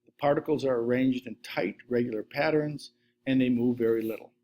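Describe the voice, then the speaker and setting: Middle-aged male science teacher, steady methodical lecture read into a desk webcam. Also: mid-range voice, fairly quick. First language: Latvian. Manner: steady list-reading delivery. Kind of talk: recorded slide lesson.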